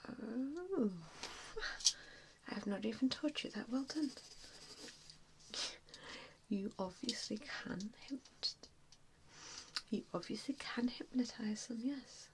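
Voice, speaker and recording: A woman plays a vampire assessor in an ASMR roleplay. She whispers breathily, mostly without voice, at a slow pace with frequent hushed pauses. A faint noise sits behind her close-up whisper. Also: a little high, lively intonation. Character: vampire assessor, a wistful former hunter, gently probing.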